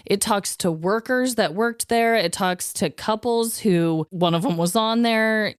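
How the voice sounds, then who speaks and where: A woman co-hosting a casual podcast, chatting in an animated, gossipy, conversational way. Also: mid-range voice, measured pace, clean tone, no room sound, studio quality. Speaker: podcast co-host.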